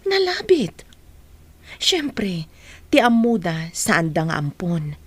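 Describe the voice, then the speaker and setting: An actress playing an earnest younger woman in a radio drama, concerned and questioning in a gently confronting way. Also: on the low side, very animated, takes it slowly, breathy edge, full character voice. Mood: earnest, pressing.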